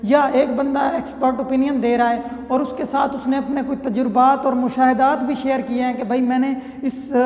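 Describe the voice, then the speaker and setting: A man's voice: very high-pitched, a little mellow. A man giving a casual, explanatory law lecture, often checking in with his listeners. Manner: conversational, keeps checking in with "theek hai". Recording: echoey room with long reverb.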